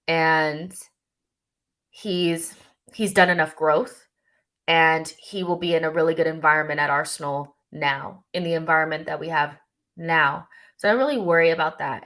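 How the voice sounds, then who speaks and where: A young woman with a low voice hosts a live stream. She reads chat messages aloud and answers them off the cuff, chatty and loose, often pausing on "um" or restarting a sentence. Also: a bit bright. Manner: chatty, thinks aloud with many ums and restarts. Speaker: channel host reading live chat.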